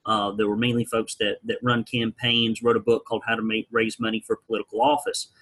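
Husky guy, folksy and wry, telling his story to an interviewer. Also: fairly low pitch, expressive, brisk, a bit bright. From rural Alabama. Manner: wry, folksy, blunt humor. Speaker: guest, business founder.

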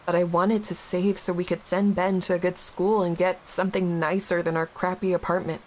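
A woman acting a grieving, guilt-ridden young widowed mother in an audio drama, halting and emotionally raw. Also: lowish voice, level tone, a little mellow, natural voice, poor audio. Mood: bitter, angry. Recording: clear background hiss.

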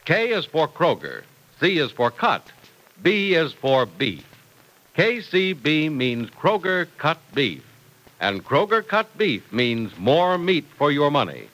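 A man's voice: fairly high pitch, wildly swooping pitch, slow, fairly bright tone, low-quality recording. A man announcing and narrating in scripted 1940s radio style, with emphatic sales phrasing.

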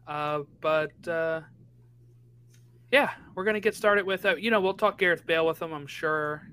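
A man's voice: fairly high pitch, moderate pace, sharp tone, smooth. A man co-hosting a podcast, talking soccer in a casual, conversational way and often checking in with "right?". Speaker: podcast co-host.

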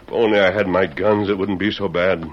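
Man acting a deep, brave, defiant western hero. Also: steady tone, lightly acted. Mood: grave, troubled. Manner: deliberate, stoic, formal. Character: brave, defiant male hero posing as Mexican revolutionary. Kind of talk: old-time radio western acting. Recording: muffled, hissy old radio recording.

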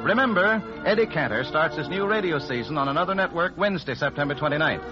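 A man's voice: on the high side, lively intonation, fast talker, lo-fi sound. A man with an American accent doing scripted announcing on a 1940s radio show. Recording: band-limited 1940s radio recording, music.

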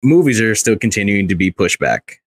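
A man's voice: on the low side, big pitch swings, brisk. A man co-hosting a pop culture podcast in casual, informal talk with some swearing. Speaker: podcast co-host.

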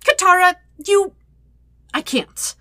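An indignant young man from a fan-fiction audiobook, acted out by a woman. The voice is bright and dry, with emphatic, sarcastic phrasing and an air of put-upon responsibility. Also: very high voice, slow pace, no room sound, voice pushed up, heavily acted. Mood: exasperated, sputtering. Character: exasperated, dutiful young man, sputtering and indignant.

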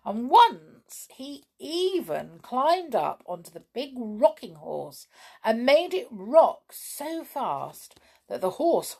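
Slow, gravelly-voiced woman storyteller. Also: fairly low pitch. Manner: chatty, reads out listener messages, many ums. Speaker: storyteller and podcast host. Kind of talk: chatty bedtime story reading.

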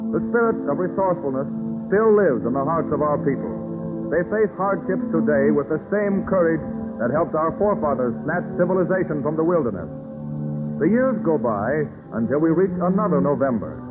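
An actor narrating a historical radio drama in a solemn, stately, declamatory manner. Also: male voice, a little high, expressive, brisk, natural voice. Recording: tinny old radio recording, loud music.

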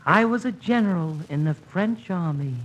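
An actor playing a genteel, serenely deluded professor in 1940s radio comedy, polite and earnest. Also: male voice, high voice, animated, normal speed, fairly bright tone. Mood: dreamy, courteous. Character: genteel, dotty male professor who thinks himself Napoleon. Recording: muffled vintage radio recording.

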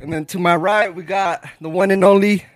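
A man with a notably high voice hosting a casual podcast, hyping up the show and its guests. He jokes around and flips between English and Spanish without pausing. His delivery is loose and playful. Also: steady tone, no echo, studio quality. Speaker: podcast co-host. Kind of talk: casual chat.

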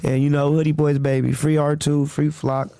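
A man's voice: fairly high pitch, level tone, normal speed, gravelly, dark tone, clean tone, no room sound. A man from Texas with a Texan accent, a rapper talking as a guest in a casual interview, boisterous, slangy and profane.

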